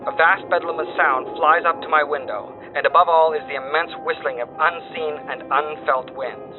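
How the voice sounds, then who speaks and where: An actor playing a doomed wire operator who reports horrors in solemn, theatrical, formal phrases through a radio filter, in a horror drama. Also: male voice, a little high, steady tone, moderate pace. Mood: grim dread. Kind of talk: dramatic horror story dispatch reading. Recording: thin phone-like radio band. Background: music.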